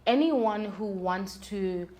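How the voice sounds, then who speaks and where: A woman's voice: medium pitch, slow pace, mellow. Emphatic woman giving advice. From South Africa. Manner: emphatic, articulate, candid, talks in rhetorical questions and examples. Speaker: guest, independent singer-songwriter. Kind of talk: conversational interview, giving advice.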